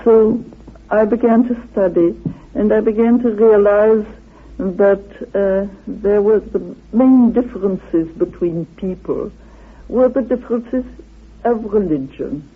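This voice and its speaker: A woman interviewed as a researcher, explaining her ideas like a lecture in a deliberate, dramatic manner. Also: medium pitch, slightly rough. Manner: deliberate, dramatic, with rhetorical questions. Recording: narrow phone-like old radio recording.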